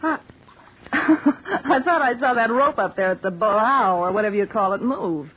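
A woman's voice: a little high, expressive, measured pace, slightly rough, full character voice. A woman acting a wry young woman stranded at sea who grows frightened, in a 1940s radio horror play. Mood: uneasy insistence. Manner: wry, sarcastic, turning to insistent alarm. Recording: noisy, muffled 1940s radio recording.

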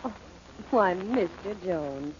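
An actress playing a brazen, cornered murderess in an old-time radio melodrama, theatrical and defiant. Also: female voice, medium pitch, lively intonation, slow, a little mellow. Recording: muffled, noisy old radio recording.

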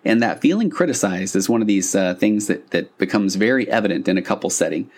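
A man's voice: lowish voice, animated, brisk, darkish tone. A man hosting a casual solo podcast as a couples therapist, talking in an earnest, conversational, slightly rambling way with self-corrections.

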